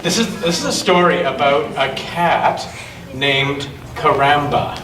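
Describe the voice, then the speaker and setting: A man reading a picture book aloud to children and chatting gently with them in a church. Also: a little high, unhurried. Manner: gentle question-and-answer talk with children. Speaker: storytime reader at a church children's gathering. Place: large echoey church room with children. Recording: echoey noisy room, distant mic.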